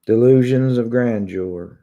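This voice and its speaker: A man in his thirties with a long Southern drawl from Kentucky talks casually to his online viewers. His voice is very dark and mellow, and he sounds conversational, like someone venting from a room at home. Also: mid-range voice, slow, highs cut off. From Kentucky, United States. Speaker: YouTube vlogger. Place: small room at home, indoors.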